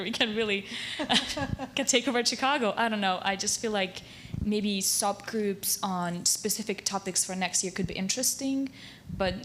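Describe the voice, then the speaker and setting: A woman's voice: medium pitch, a little hiss or hum. A woman from Romania whose first language is Hungarian, speaking English on a live panel in an upbeat way and laughing between thoughts.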